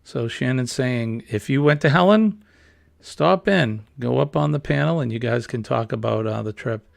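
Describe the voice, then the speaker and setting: Creaky-voiced man hosting a casual chat. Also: medium pitch, normal speed, no echo, studio quality. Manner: chatty, reads viewer comments aloud with laughs. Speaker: host.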